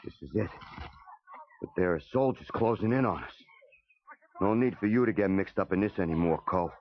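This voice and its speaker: A man's voice: deep voice, brisk, a little hiss or hum, lightly acted. A man playing a tough, grim commando hero in a children's read-along cassette story, terse and commanding. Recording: dull 1980s cassette tape.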